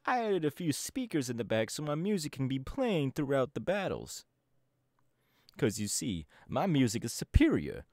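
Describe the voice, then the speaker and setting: A man's voice: medium pitch, huge pitch swings, normal speed, a little mellow, no room sound, clean studio sound. A man voicing a cocky young male DJ who leads a gang, boasting and talking straight to the listener in an audio roleplay. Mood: boastful. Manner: boastful, swaggering, talks to the listener. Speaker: Team Star leader in a roleplay.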